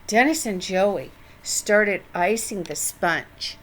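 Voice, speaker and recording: An older woman reading a children's book aloud as storyteller, with expressive, deliberate narration. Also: mid-range voice, a little hiss or hum.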